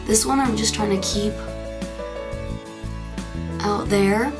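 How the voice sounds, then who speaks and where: A young woman with a hoarse, raspy, congested voice, chatting casually through a makeup tutorial with plenty of fillers. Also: mid-range voice, slow pace. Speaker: beauty vlogger. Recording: clear background music.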